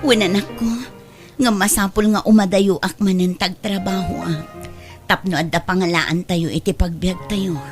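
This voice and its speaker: A woman's voice: lowish voice, steady tone, moderate pace, faint music behind, natural voice. A woman playing a repentant, tender young mother in a radio drama, with an earnest, emotional delivery. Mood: earnest, reassuring.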